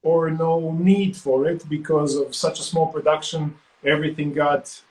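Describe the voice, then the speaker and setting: A man's voice: a little high, fairly even intonation, a little slow, darkish tone, roomy sound, low-quality recording. A man from Croatia who guides wine tours, chatting casually online and hosting with many fillers and restarts.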